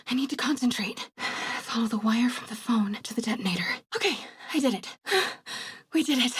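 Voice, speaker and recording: An actress playing a brave, quick-witted young woman reporter in a video game, with an American accent, a breathy, husky voice and a wry, conversational, often hushed delivery. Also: on the high side, no echo, studio quality. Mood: tense, focused. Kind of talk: naturalistic video game voice acting.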